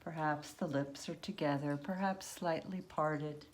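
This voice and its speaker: A woman leading a guided yoga nidra meditation, speaking unhurriedly in short phrases with long pauses between them. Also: sits low, steady tone, slight background noise.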